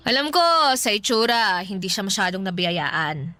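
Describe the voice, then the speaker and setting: A woman's voice: mid-range voice, expressive, normal speed, pushed and bright, no echo, faint music behind. A Filipino actress playing a playful, pushy young woman matchmaking for a friend in a radio drama. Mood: earnest persuading. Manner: giggly, teasing, persuasive.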